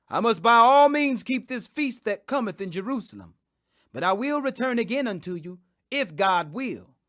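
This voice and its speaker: A man's voice: high voice, a bit bright, no echo, studio quality. A man reading scripture as scripted audiobook narration, in an emphatic, dramatic manner. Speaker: scripture reader. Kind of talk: scripted audiobook narration of King James Bible.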